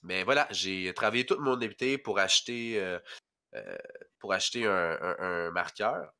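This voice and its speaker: A man's voice: sits low, expressive, moderate pace, bright, forceful tone, clean tone. A young man from rural Quebec with a Québécois accent, stuffy from a head cold, chatting casually as a podcast guest and rambling through lots of fillers. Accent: Québécois French. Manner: rambling, hesitant, with many fillers. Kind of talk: casual chat.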